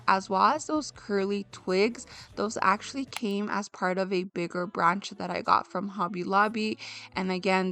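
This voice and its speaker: A young woman talks her viewers through her decorating in a friendly, chatty voice-over. Her voice is hoarse and raspy from illness, with a gravelly edge, and it sits at an ordinary middle pitch. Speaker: home decor vlogger. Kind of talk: casual voice-over narration.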